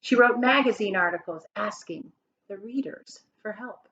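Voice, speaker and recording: A woman's voice: medium pitch, moderate pace, a bit bright, muffled recording. A woman reading a children's picture book aloud like a teacher, with emphatic, dramatic phrasing and questions put to her listeners. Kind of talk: expressive children's story read-aloud.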